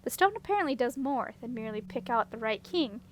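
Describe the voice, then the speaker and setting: A woman reads a female dragon ruler from a storybook, high-voiced and in command. She speaks mildly and drily, with measured poise, over faint noise. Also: animated, lightly acted. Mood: dryly regal. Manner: mild, measured, dry. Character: composed, commanding female dragon monarch, dryly amused.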